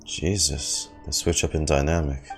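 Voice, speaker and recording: A man's voice: very deep voice, little pitch movement, moderate pace, gravelly. A man giving casual, sweary gameplay commentary in short muttered reactions, as a streamer. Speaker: streamer reacting to a video game. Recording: game music behind the voice. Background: video game music.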